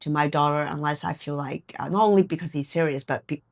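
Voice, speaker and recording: Lower-pitched middle-aged woman in conversation. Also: fast. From Canada, born in Hong Kong. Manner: reflective, circling back and checking with "right?" and "you know". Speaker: podcast guest. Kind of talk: casual personal interview chat.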